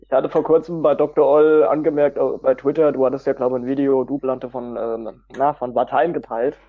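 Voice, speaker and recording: A man's voice: mid-range voice, flat delivery, brisk. A man chatting casually as a guest on a group podcast. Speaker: podcast guest, hobby metal musician. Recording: dull lo-fi voice-call sound.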